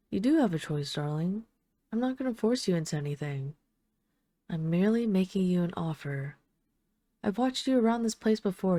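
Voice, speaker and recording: Low, mellow flirtatious vampire lady played by a woman. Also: no room sound, natural voice. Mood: seductive, coaxing, then tender, seductive. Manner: teasing, coaxing, deliberate with lingering pauses. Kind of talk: intimate audio roleplay addressed to the listener.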